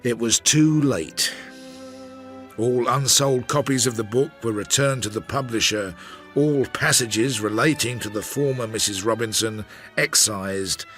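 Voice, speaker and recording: An actor playing a Victorian investigator, narrating a radio drama in a reflective, deliberate and understated way. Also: male voice, mid-range voice, a bit bright, soft background music, lightly acted. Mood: measured, wry. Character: measured Victorian investigator recounting a failed case.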